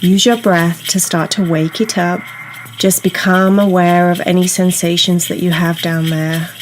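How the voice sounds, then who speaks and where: A woman guiding a meditation in a soothing, unhurried, instructional way, leaving pauses between phrases. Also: fairly low pitch, flat delivery, slight background noise, poor audio. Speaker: meditation guide. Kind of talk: guided meditation narration. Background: soft ambient meditation music.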